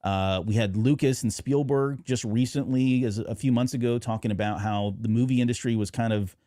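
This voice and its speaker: A man's voice: medium pitch, fairly even intonation, fairly quick, mellow, no room sound, clean studio sound. A middle-aged man hosting a fan podcast, talking through his points in a casual, agreeable, discursive way. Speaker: podcast host.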